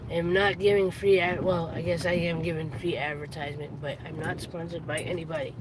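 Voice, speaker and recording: A raspy-voiced live streamer with heavy breathing, rambling casually while driving a car. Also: medium pitch, little pitch movement, a little fast. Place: inside a moving car. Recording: road and car noise.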